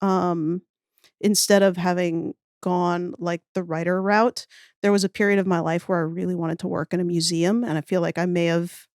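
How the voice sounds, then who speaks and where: A woman's voice: fairly low pitch, level tone, normal speed, darkish tone, no room sound. Chatty, wry woman in a dry room. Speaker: podcast guest, audio fiction writer. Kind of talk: casual chat.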